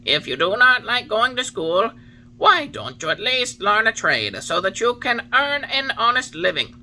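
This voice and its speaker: A man's voice: extremely high pitch, very animated, bright, forceful tone, voice pushed up, heavily acted. A man voicing a preachy old cricket. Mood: scolding. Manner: solemn, lecturing, drawn-out warnings. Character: wise old male talking cricket, preachy.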